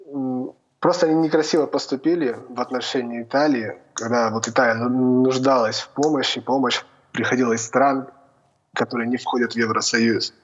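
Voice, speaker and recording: Man, Italian-tinged Russian accent, video call guest, relaxed, dull lo-fi sound. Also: mid-range voice. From North Ossetia. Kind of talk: casual remote interview.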